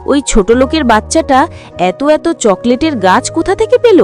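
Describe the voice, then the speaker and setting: A woman's voice: sits high, very animated, moderate pace, a little mellow, faint music behind. A woman voicing a greedy, jealous fox mother who runs a shop in a cartoon, with a scolding manner. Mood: nagging, suspicious. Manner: scolding, scornful. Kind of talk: cartoon voice acting.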